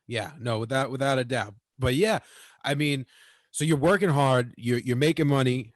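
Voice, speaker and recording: A man's voice: medium pitch, normal speed, no echo. Dry-sounding encouraging podcast man. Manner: interjecting, encouraging listener. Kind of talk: casual podcast conversation.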